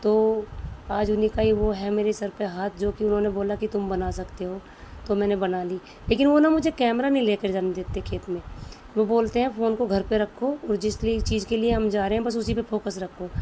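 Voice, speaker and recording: A woman with a mellow, slightly dark voice and a sore throat, chatting in a homely way straight to her viewers. There is faint noise behind her. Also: medium pitch, fairly quick, low-quality recording. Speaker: vlogger. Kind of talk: casual home vlog voice-over.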